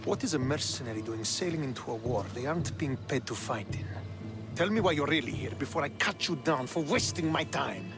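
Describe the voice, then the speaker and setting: An actor playing a stern, suspicious Spartan military commander in a video game, with a commanding, distrustful manner. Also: male voice, medium pitch, animated, a little fast, music in the background, natural voice. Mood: suspicious, threatening. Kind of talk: dramatic video game acting.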